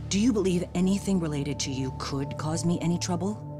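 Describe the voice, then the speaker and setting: A woman's voice: fairly low pitch, fairly quick, over music, lightly acted. An actress playing a composed, wary woman in a dubbed video game, cool, clipped and formal. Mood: guarded. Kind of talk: English dub video game cutscene acting.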